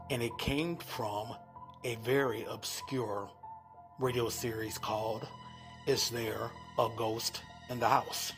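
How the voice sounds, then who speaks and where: A man hosting a podcast, introducing old radio plays with dramatic pauses between short phrases. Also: mid-range voice. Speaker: podcast host. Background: music behind the voice.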